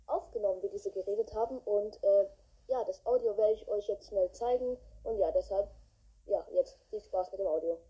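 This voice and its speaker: A woman's voice: medium pitch, normal speed, husky. A woman hosting a podcast in a casual, rambling way with many fillers. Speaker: podcast host. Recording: dull lo-fi recording.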